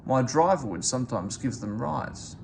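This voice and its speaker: A man voicing a sad, proud old traction engine, speaking in a wistful, gentle way, for a read-aloud storybook. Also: mid-range voice, lively intonation, moderate pace, vocal fry, background noise.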